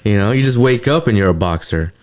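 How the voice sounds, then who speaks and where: Deep-voiced man from New York. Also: animated, fast. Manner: asks probing questions, teasing. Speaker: podcast co-host. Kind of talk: casual chat.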